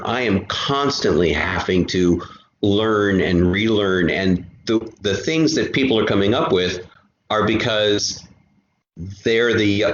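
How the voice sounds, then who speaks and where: A middle-aged man chatting discursively as a guest, haltingly repeating words as he thinks aloud. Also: fairly low pitch. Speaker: guest, writer and software programmer. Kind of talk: casual chat, discursive storytelling. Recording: echoey room, muffled, some hiss.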